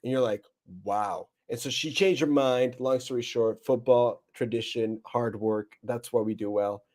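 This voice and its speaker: An American man hosts a casual podcast with a mellow, soft-edged voice. He rambles through stories in loose slang, drifting off on tangents and swearing freely. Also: mid-range voice, steady tone, a little slow. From Nebraska, United States. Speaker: podcast host.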